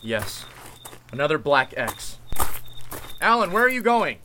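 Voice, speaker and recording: Guy playing a calm bossy lawyer in an old radio mystery, sharp bright voice, animated, some crickets and hiss behind. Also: a little high, measured pace, exaggerated voice. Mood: grim. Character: calm, take-charge male lawyer carrying a gun.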